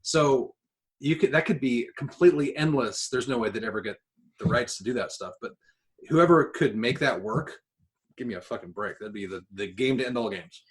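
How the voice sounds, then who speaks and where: A man's voice: medium pitch, lively intonation, moderate pace, low-quality recording. A man chatting as a podcast panelist, blunt and casually profane. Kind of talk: casual chat.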